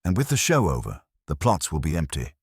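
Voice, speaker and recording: Police inspector, male, voiced by a narrator: very low, soft-spoken, measured and thoughtful, mystery drama. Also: huge pitch swings, husky, dry recording, studio quality, heavily acted. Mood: quietly decisive. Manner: quiet, deliberate, reflective.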